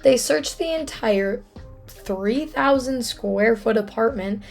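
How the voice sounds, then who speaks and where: A young woman hosts a true crime video in a hoarse, froggy voice with a gravelly edge. She talks casually and chattily, dropping apologetic asides as she goes. Faint music sits underneath. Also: fairly high pitch, takes it slowly, darkish tone.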